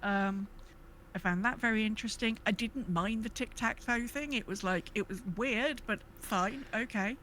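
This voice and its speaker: A woman's voice: a little high, moderate pace, fairly bright tone, a little hiss or hum. A woman co-hosting a podcast in casual gaming chat, thinking aloud in a hesitant, rambling way. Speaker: podcast co-host.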